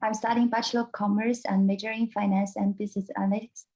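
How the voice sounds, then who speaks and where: A woman's voice: mid-range voice, little pitch movement, fast talker, darkish tone, poor audio. A young female student from China, a guest speaking earnestly and carefully on a video call. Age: young adult.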